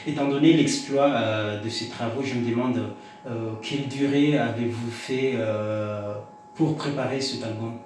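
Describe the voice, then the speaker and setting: A man's voice: mid-range voice, steady tone, a little mellow, a little hiss or hum. Echoey, distant man interviewing. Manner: halting, hesitant phrasing with many drawn-out pauses. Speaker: interviewer, show host. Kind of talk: radio-style interview questions. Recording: very echoey room, distant mic, music behind.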